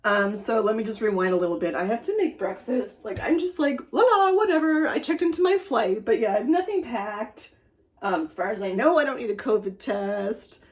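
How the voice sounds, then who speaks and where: A woman's voice: a little high, animated, normal speed. Chatty middle-aged woman vlogger. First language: German. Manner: rambling, chatty, with many fillers and laughs. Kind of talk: casual personal vlog.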